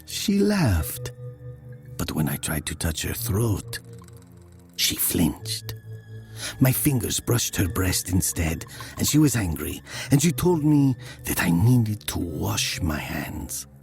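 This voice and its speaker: A man playing a brooding narrator recalling his youth in a dramatic story, slow and deliberate, dropping into hushed breathy tones. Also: low voice, very animated, soft background music, full character voice. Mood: hushed, wistful.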